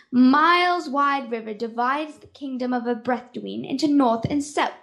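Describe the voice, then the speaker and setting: A high-pitched, theatrical storyteller played by a young girl for a radio-serial fantasy adventure. The delivery is scripted and deliberate, with a kindly air. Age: child, 10 to 13. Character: grand fantasy storyteller who also plays kindly tavern keeper.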